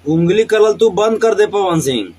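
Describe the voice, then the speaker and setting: A man with a high-pitched voice and a Bhojpuri accent rants to a live social media audience. He is combative and defiant, hammering phrases by repeating them. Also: expressive, normal speed, darkish tone, husky. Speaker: singer.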